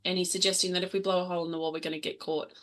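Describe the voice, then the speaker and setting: A woman's voice: fairly low pitch, little pitch movement, rapid-fire, fairly bright tone. A woman chatting casually as a player in a tabletop roleplaying game.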